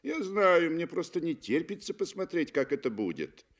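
A man's voice: on the high side, very animated, moderate pace, voice pushed up. A man voicing a cold, eager wealthy wife with an airy manner in a 1960s radio play.

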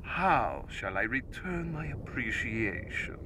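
A sinister older cult leader from a video game, speaks slowly. His pompous, mocking delivery swings widely in pitch over rumbling noise. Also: big pitch swings. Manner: pompous, theatrical, slow and mocking. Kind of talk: video game villain acting.

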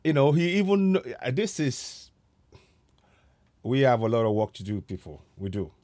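A man's voice: medium pitch, sing-song, normal speed, darkish tone, dry recording, studio quality. A middle-aged man hosting his own online show, earnestly reflecting on a video and repeating phrases for emphasis, speaking through a headset. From Yoruba Nigeria. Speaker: host. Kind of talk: reflective commentary on a played video. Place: home room with headset. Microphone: headset mic.

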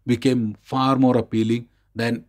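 A man with an Indian accent talks as an expert in conversation. His voice sits at a medium pitch, and he stresses his points, often circling back to rephrase them. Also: flat delivery, unhurried. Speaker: expert guest co-host. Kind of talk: thoughtful conversational explanation.

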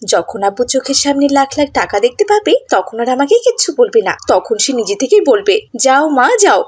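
A woman plays a scheming cartoon teenage girl with a high voice and slightly husky edge. She wheedles and brags breezily, like an older sister set on fame. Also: sing-song, moderate pace, full character voice. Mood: breezy, boastful.

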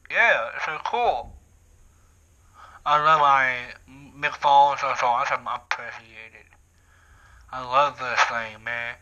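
Young man, slow excited talk, bright tone, repeats words, bullhorn held to camera, living room. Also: on the high side, rough recording. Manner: excited, repeats himself, restarts sentences. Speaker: vlogger. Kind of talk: casual vlog to camera. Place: living room at home, indoors. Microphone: camera on tripod, talks through a handheld bullhorn.

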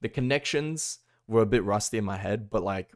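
Young man, lowish voice, rambling bedroom vlog, heavy on fillers. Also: normal speed. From Australia. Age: young adult. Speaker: language-learning vlogger. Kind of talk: casual talking-head vlog. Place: small bedroom, indoors.

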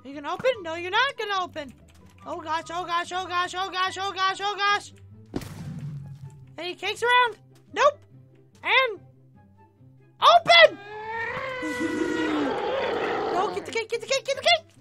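A woman playing an excitable, easily flustered young cartoonish heroine in a Minecraft roleplay, exclaiming and repeating her phrases. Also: very high-pitched, measured pace, pauses often, music in the background. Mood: frantic. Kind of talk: Minecraft roleplay gameplay acting.

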